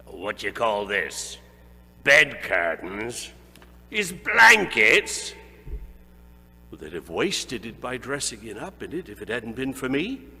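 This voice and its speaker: A man's voice: medium pitch, big pitch swings, slow, bright, forceful tone, slightly rough, full character voice. Man putting on a brash old thieving woman. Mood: defiant. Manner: brash, defensive. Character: coarse old woman selling a dead man's things. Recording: reverberant room with faint hum.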